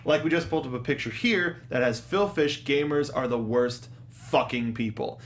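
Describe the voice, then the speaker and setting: A man talking casually as a show panelist, telling things in an animated way and acting out quotes. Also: mid-range voice, moderate pace, slight background noise. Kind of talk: casual film and game discussion.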